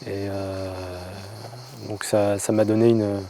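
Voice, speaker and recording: A man from France telling his personal testimony, halting and full of hesitations and restarts. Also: fairly low pitch, little pitch movement, dark tone, a little hiss or hum. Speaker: convert telling his story.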